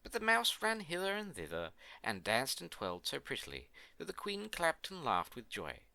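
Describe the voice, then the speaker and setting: Theatrical male storyteller, very animated pitch. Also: normal speed, creaky, no room sound. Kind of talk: scripted fairy tale narration.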